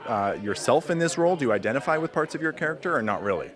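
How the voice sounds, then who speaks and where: Brisk upbeat man hosting on location. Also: mid-range voice, lively intonation, fairly bright tone. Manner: brisk, upbeat presenter patter. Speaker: community TV host. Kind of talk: on-location TV interview and links. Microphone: handheld interview mic.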